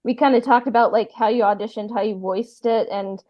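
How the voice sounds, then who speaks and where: A woman hosting a casual podcast chat, talking haltingly and often trailing off. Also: a little high, fairly even intonation, dry recording, muffled recording. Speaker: podcast host.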